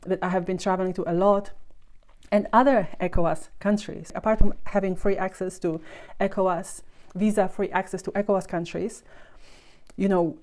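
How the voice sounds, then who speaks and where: A woman's voice: on the low side, dark tone. A young woman from Poland, a vlogger telling a personal story to camera in a conversational way, full of "you know" asides.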